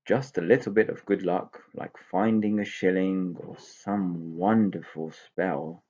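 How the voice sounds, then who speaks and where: Man acting idle storybook pixie, self-pitying grumbler, unhurried, husky, slightly muffled recording. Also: sits low, steady tone, darkish tone, heavily acted. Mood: lazy, doleful. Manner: gloomy, whining complaints.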